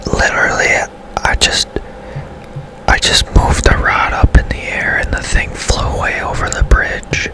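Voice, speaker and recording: A man hosts gaming ASMR entirely in a breathy, unvoiced whisper. He takes his time, leaving his words slow and spaced out. He sounds hushed and unhurried, as if thinking aloud while he plays. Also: low-quality recording. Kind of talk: whispered ASMR game commentary.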